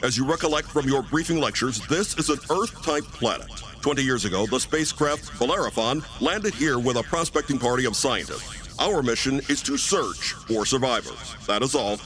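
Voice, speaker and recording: Animated, stern spaceship commander, a man acting. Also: medium pitch, moderate pace, close to an everyday voice. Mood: official. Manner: authoritative, clipped, formal orders. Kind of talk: old-time radio sci-fi acting.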